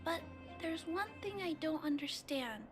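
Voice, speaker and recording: An actress playing a gentle, earnest young girl in a video game, speaking softly and politely. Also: sits high, animated, unhurried. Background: game music.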